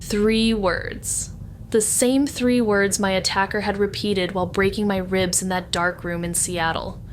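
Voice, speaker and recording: A woman's voice: mid-range voice, level tone, measured pace, a little hiss or hum, natural voice. A woman playing a haunted, determined amateur detective, narrating a noir audio drama in a brooding, dramatic way. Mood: grim, tense. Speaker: narrator and lead character. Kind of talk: noir scripted first-person narration.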